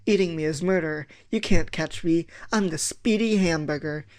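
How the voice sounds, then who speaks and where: A man's voice: a little high, normal speed, close to an everyday voice. A man voicing a small, defiant runaway hamburger that chants in rhyme in a children's story. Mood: defiant, boastful.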